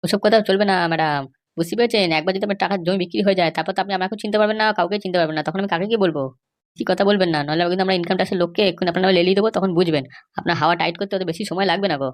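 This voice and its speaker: Woman as crooked cartoon police officer, lowish pitch, extremely fast talker, insistent and dismissive. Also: steady tone, close to an everyday voice. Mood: stern. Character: stubborn, bribe-seeking police officer guarding a prisoner. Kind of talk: Bengali comedy cartoon dialogue.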